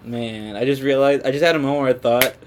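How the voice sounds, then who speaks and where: A man with Latvian as his first language chats on a casual podcast, his voice at a middling pitch. He rambles, stops and restarts his sentences, and laughs often. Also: steady tone, fast, a little hiss or hum. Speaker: podcast co-host. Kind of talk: casual chat.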